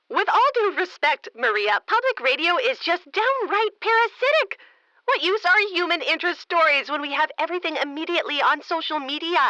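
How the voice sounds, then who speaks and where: A woman's voice: extremely high pitch, bright, forceful tone, no echo, heavily acted, low-quality recording. A woman playing a smug, condescending small-town mayor in a comedic audio drama, sugary and patronising with pointed digs.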